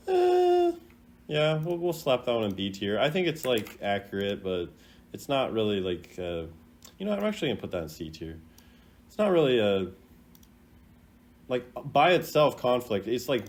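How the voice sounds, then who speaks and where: A man doing casual reaction commentary as a gaming creator, thinking aloud in an informal, rambling way. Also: lowish voice. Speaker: video game content creator ranking voice lines.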